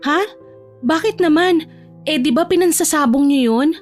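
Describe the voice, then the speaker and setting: A woman playing a caring, high-voiced young granddaughter. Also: moderate pace, soft background music, lightly acted. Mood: concerned, caring. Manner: polite, affectionate. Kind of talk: Tagalog audio drama acting.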